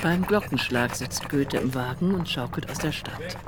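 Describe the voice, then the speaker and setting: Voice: medium pitch, normal speed, very noisy, natural voice. A performer narrating a literary radio play in a composed, precise and descriptive way, as an observant storyteller. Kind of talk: scripted radio drama narration. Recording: music behind the voice.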